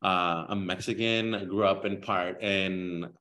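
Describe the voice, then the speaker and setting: A man in his twenties from Kansas, a guest on a casual podcast interview, speaking earnestly with halting restarts. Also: low voice, fairly even intonation, bright, forceful tone. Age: 20s.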